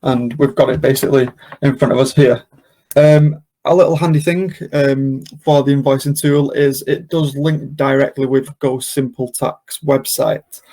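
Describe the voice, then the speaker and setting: A man presenting an informal software walkthrough, explaining casually step by step. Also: medium pitch, little pitch movement, normal speed. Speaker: software demonstrator, webinar presenter. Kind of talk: informal screen-share walkthrough.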